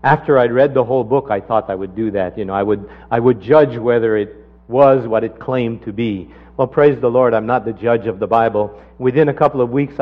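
A man preaches to a congregation, mixing scripture with his own story in an animated way. His voice sits at a middle pitch and jumps up high to press a point home. The recording is dull and lo-fi. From Canada. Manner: animated, rises sharply when driving a point home. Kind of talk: sermon with personal testimony.

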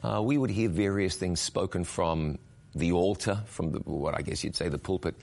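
Deep-voiced New man. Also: moderate pace, creaky, darkish tone. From New Zealand. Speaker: guest. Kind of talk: interview conversation.

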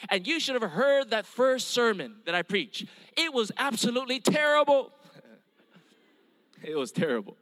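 Man, Hawaiian accent, middle-aged, evangelist sermon, earnest, higher voice, reverberant hall. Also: very animated, unhurried, long pauses, fairly bright tone. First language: Hawaiian Pidgin. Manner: earnest storytelling with calls of amen. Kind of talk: testimony sermon.

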